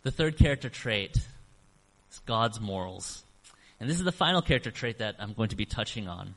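A middle-aged man preaching a sermon as pastor, earnest, slowing down to stress key phrases. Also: fairly low pitch, expressive, fairly bright tone. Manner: earnest, varies emphasis, slows on key phrases. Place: church sanctuary. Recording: long reverb of a church hall.

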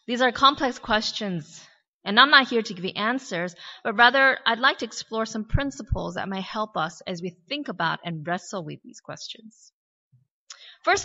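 A woman's voice: mid-range voice, bright, forceful tone, in a large hall. A woman pastor with an American accent giving a conversational sermon, reflective and full of questions, with light humorous asides. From born in Korea, raised in the US. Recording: dull lo-fi recording.

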